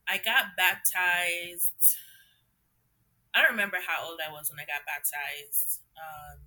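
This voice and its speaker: A young woman from Trinidad and Jamaica talks as a guest on a podcast. Her delivery is slow and easygoing, and her tone is bright and sharp. She reflects as she goes, using casual fillers and laughing often. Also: fairly low pitch, fairly even intonation, rough recording. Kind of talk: conversational interview storytelling.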